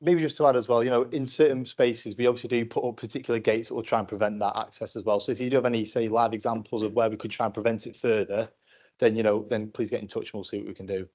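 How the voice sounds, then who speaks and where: A man's voice: lowish voice, fairly even intonation, fast, a little mellow, dull audio. A man speaking as a councillor at a council meeting, answering a question with many fillers and restarts. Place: council chamber.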